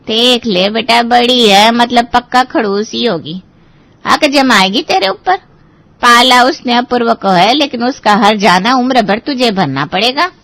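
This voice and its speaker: Woman acting cynical, emphatic older aunt, highish voice. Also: expressive, a little slow, fairly bright tone, no echo. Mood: scornful warning. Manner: worldly-wise, emphatic, scaremongering. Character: cynical, gossipy older aunt warning her niece. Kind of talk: Hindi audio story acting.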